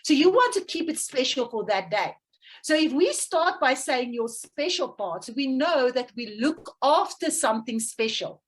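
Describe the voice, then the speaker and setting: High-voiced Afrikaans-woman coach. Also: big pitch swings, a little slow, slightly rough. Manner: warm storytelling, laughs often, checks in with "okay". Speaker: parenting coach. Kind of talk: interview guest explaining.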